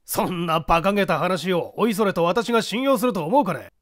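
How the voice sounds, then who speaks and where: A man's voice: sits high, animated, fairly quick, a bit bright, no echo, studio quality, pitched above the performer's own voice. A man playing a condescending, self-assured police detective with a pompous manner in an audio mystery story. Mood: scornful.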